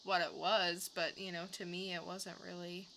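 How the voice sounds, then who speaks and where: A woman's voice: fairly low pitch, little pitch movement, moderate pace, bright, forceful tone, slight background noise. A woman co-hosting a podcast, recapping and reviewing in a casual, conversational way. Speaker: podcast co-host reviewing comics.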